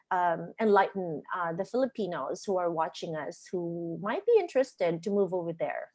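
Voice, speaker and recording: A woman hosts an online webinar over a video call. Her voice is of middling pitch. She sounds upbeat and courteous and fills her pauses with "uh" and "um". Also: a little mellow. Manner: upbeat, polite, many fillers. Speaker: webinar host. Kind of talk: hosting a webinar.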